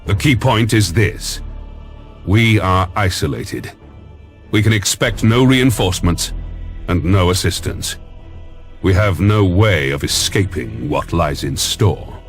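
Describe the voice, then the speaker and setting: Deep-voiced, commanding Lord Admiral. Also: male voice, soft background music. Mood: grave, authoritative. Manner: formal, commanding, measured. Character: authoritative, grave senior Lord Admiral, a commanding man. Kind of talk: dramatic sci-fi game cutscene acting.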